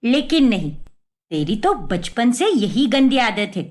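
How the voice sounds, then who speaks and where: A woman's voice: a little high, sing-song, a little slow, a little mellow. A woman voicing a blunt, unyielding middle-aged mother who lectures in an exasperated way, for a story.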